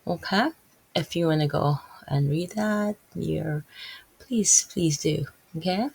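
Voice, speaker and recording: A woman's voice: low-pitched, slow pace, vocal fry, a little mellow, breathy edge. A woman from the Philippines with a Filipino accent, reading Bible verses and explaining them in a casual, rambling way full of fillers and restarts. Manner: rambling, many fillers and self-corrections. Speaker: Bible video host.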